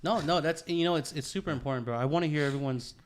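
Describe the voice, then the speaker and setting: A man's voice: on the high side, racing. A man chatting casually on a podcast, earnest and slangy, often repeating himself and swearing. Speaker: podcast co-host.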